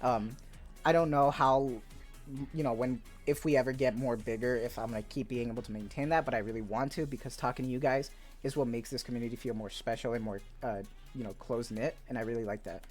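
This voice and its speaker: A man's voice: medium pitch, fast, a little mellow. Laid-back rambling gamer guy. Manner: upbeat and eager. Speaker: gaming YouTuber. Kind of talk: casual commentary on viewer suggestions. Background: faint background music.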